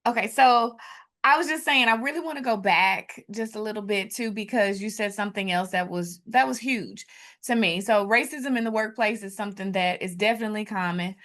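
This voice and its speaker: A woman's voice: medium pitch, a bit bright. A middle-aged woman hosting an interview, affirming her guest and building up at length to her questions. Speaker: podcast host.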